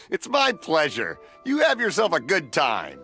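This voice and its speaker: A man's voice: high voice, wildly swooping pitch, slow pace, sharp tone, soft background music, heavily acted. A man playing a friendly, generous middle-aged festival stall vendor in a video game, chatty and folksy. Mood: folksy, jovial.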